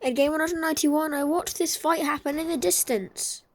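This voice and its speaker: Woman w a sore throat, bit stuffy, self-deprecating play-by-play over game footage, slightly higher voice. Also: fairly even intonation, moderate pace. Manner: dry, self-deprecating, matter-of-fact. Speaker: gaming video creator. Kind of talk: voice-over commentary on gameplay clips.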